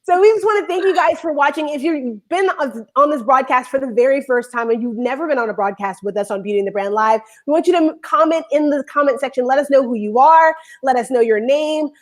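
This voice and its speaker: A woman hosting a live online talk show, bubbly and rambling, talking in quick bursts. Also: high voice. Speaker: show host.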